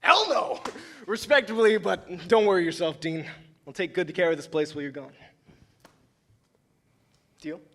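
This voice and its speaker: A blustery sports coach bursts out in a high, bright voice, voiced by a young man. He swings to loud exclamations, always in the plain style of an amateur staged reading. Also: moderate pace, pauses often, exaggerated voice. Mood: friendly.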